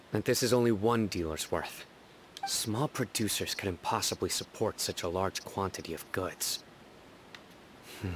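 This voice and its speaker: An actor playing a stern, composed young investigator in a video game, measured and serious. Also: male voice, lowish voice, a bit bright, a little hiss or hum. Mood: pondering. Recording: game music behind.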